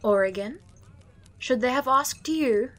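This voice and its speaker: An actress playing a weary, scornful tusked judge of the dead in an audio drama, speaking theatrically. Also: female voice, high-pitched, expressive, a little slow. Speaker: afterlife judge.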